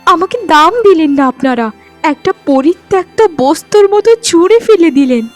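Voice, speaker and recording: A woman plays a rejected young wife in a cartoon melodrama. The voice is extremely high, humble and full of lament. Music runs underneath. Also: animated, normal speed. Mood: despairing. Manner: plaintive, lamenting.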